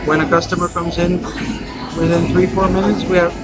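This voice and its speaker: A man from the Netherlands and France, talking casually about his pizza business in a relaxed, halting way with frequent restarts. His voice sits a little high for a man. Loud music plays behind him. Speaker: restaurant owner. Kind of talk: casual interview. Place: busy market food stall at night.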